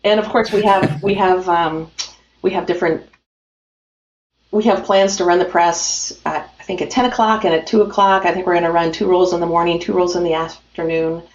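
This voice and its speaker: A woman's voice: lowish voice, fairly even intonation, a little fast. A woman guest in a remote business interview, talking in an upbeat, promotional way with frequent fillers. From United States. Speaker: industry guest from a printing company. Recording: roomy, dull audio with faint music.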